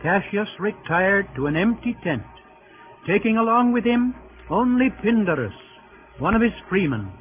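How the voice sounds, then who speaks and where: High-pitched male narrator on vintage radio. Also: very animated, normal speed, soft background music. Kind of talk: scripted narration, 1930s radio drama. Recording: tinny 1930s radio recording.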